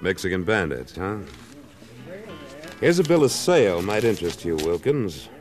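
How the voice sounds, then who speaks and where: A man's voice: low-pitched, very animated, moderate pace. An actor playing a tough, laconic American cattleman in an old-time radio western, speaking in a terse, self-assured way. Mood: cool, confident. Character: tough, laconic cattleman gunslinger, a former cavalryman. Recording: old radio recording, faint music.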